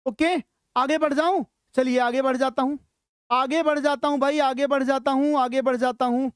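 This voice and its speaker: A high-pitched teacher leads a live lesson for school students. The delivery is instructional, posing questions, answering them and repeating phrases for emphasis. The sound is dry, with no room echo. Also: steady tone, normal speed, clean tone.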